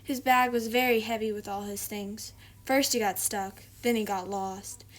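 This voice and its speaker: A woman's voice: medium pitch, steady tone, moderate pace. A woman hosting a read-aloud, narrating a children's picture book. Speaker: storybook reader, video host.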